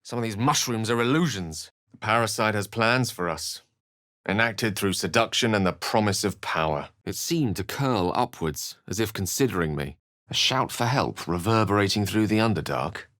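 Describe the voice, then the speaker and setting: An actor playing a courteous, erudite wizard adventurer in a video game, with eloquent, measured, theatrical phrasing and a wry, earnest temper. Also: male voice, on the low side, lively intonation, a bit bright, no room sound, clean studio sound. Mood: wary. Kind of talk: video game character lines and narration.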